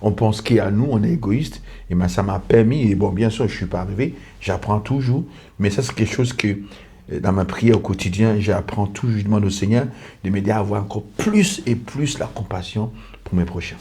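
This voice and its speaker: Earnest pastor. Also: male voice, fairly low pitch, moderate pace, dark tone. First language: English. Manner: earnest, repetitive, lists in short clauses. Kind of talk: testimony in a podcast conversation.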